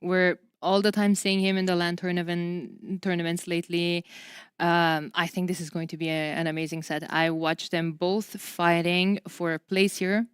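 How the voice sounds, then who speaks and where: A woman's voice: lowish voice, little pitch movement, moderate pace, smooth, dry recording, clean studio sound. A woman co-commentating a live esports tournament, speaking English as a non-native language. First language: not English.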